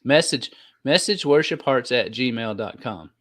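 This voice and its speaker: A man co-hosting a podcast in a casual, conversational way. His voice sits at a middle pitch, and his manner is informal and folksy. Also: animated, measured pace, fairly bright tone. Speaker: podcast co-host. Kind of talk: casual chat.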